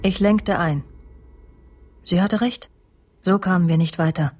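A woman's voice: lowish voice, a little slow, darkish tone, no room sound, soft background music. An actress playing a cold, scheming friend in a radio crime drama, sardonic and matter-of-fact as she speaks and narrates. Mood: cool, self-justifying. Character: cold, scheming woman, spiteful friend, narrating her own deeds.

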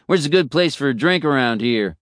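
A man doing a loud, jovial male roadie. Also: medium pitch, animated, fairly quick, no echo, clean studio sound, pitched above the performer's own voice, close to an everyday voice. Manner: breezy, loud, casual.

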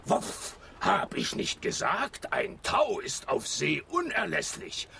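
Very gravelly, gruff sea dog. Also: male voice, sits high, huge pitch swings, slow, background noise, heavily acted. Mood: gruff, urgent. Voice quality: gravelly, barking. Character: gruff, practical male dog, self-assured sailor. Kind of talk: German radio play for children.

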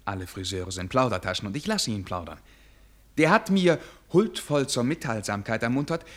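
An actor in a German radio play as a weary, self-doubting prince who speaks formally, with theatrical irony. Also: male voice, medium pitch, lightly acted. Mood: dryly ironic. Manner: formal, theatrical, brooding, ironic.

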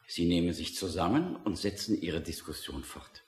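A man's voice: deep voice, animated, normal speed, darkish tone, echoey room. An actor playing a self-assured, reassuring male doctor in a radio play, pompous and breezily authoritative. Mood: breezy. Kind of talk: German radio play acting.

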